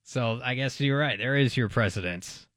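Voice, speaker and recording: Mid-pitched man talking sports, dry studio. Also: normal speed, fairly bright tone, no room sound. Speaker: sports radio co-host. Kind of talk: conversational sports talk.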